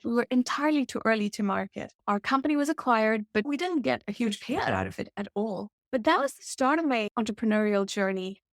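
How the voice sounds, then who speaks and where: A woman's voice: a little high, animated, brisk, hardly any pauses, no room sound, studio quality. A woman in her forties from India, telling her story as a podcast guest in a reflective, conversational way. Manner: warm, reflective storytelling with occasional laughs. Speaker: guest, author and product leader. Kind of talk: casual interview answers.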